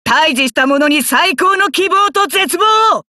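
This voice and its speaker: An actor voicing a cocky, hot-blooded young male fighter in an anime card game, rough-talking and arrogant, with emphatic battle cries. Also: sits high, unhurried, bright, forceful tone, dry recording, studio quality, full character voice. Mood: fierce, triumphant.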